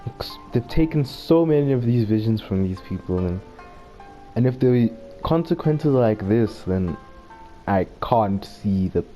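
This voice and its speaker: Low, mellow-voiced male gamer. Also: expressive, measured pace. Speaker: gaming video host. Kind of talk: casual gameplay commentary. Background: faint game music.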